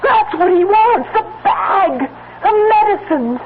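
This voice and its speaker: An actress playing an anxious, fretful farmer's wife in a 1970s radio drama. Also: female voice, extremely high pitch, lively intonation, takes it slowly, full character voice. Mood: frightened. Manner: hesitant, fretful. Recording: very noisy, tinny old radio recording.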